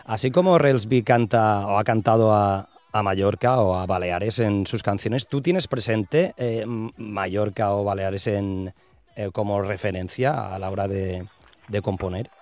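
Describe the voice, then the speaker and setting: Guy doing interview questions, kinda low voice, pauses a lot, no room echo at all. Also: darkish tone. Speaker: radio interviewer.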